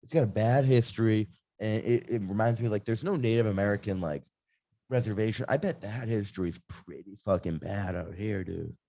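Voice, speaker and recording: Male comedy podcast host, low-pitched, rambling and provocative, close dry mic. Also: brisk, vocal fry, mellow, no echo. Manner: rambling, provocative, heavy use of "like". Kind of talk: casual chat.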